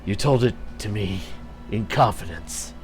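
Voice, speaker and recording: A man playing a furred male fairy beast chieftain, slow and deliberate, pleading or roaring with wounded loyalty. Soft music plays underneath. He bellows when betrayed. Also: lowish voice, lightly acted. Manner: pleading, then roaring with rage.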